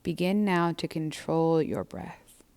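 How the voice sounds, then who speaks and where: A woman leads a guided breathing and body scan practice as a yoga instructor. Her voice sits low, with a clear, smooth tone and a creaky fry. She speaks gently, in measured, soothing phrases.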